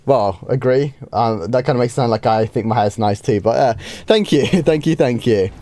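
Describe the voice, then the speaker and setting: A man, a bit hoarse from a starting cold, easily answering viewer questions on a live game stream. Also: mid-range voice, moderate pace, warm tone, no echo. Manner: chatty, easygoing, with frequent ums and light laughs.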